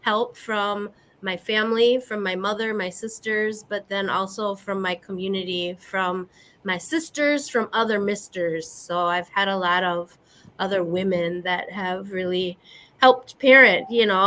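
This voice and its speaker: A woman from the US Midwest whose first language is Spanish, speaking as a guest on a video call panel in a reflective, earnest way with frequent pauses. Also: mid-range voice, bright, forceful tone. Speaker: guest panelist, community organizer. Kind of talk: casual panel chat.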